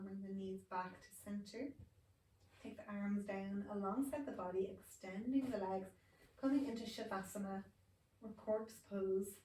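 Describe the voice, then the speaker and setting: A woman leads a yoga practice in a calm, unhurried way, her voice a little worn as if she is losing it. She sits back from the mic in a roomy space with a faint hum of ambience behind her. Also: medium pitch, recorded from a distance. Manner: calm, slow, soothing cues. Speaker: yoga teacher. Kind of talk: guided yoga instruction.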